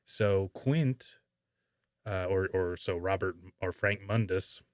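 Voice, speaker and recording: A man hosting a podcast, chatting casually and haltingly as he thinks aloud. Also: low-pitched, no room sound, clean studio sound. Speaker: podcast host. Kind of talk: casual conversational podcast talk.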